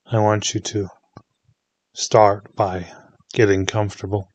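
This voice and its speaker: A man leading a guided meditation in a soothing, hushed manner, leaving long pauses between short phrases. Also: fairly low pitch, little pitch movement, no echo.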